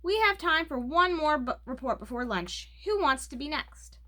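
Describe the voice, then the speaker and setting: A woman's voice: sits high, lively intonation, moderate pace, pushed and bright, voice pushed up. A woman voicing a kindly, reasonable female schoolteacher in a storybook reading. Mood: kindly, brisk.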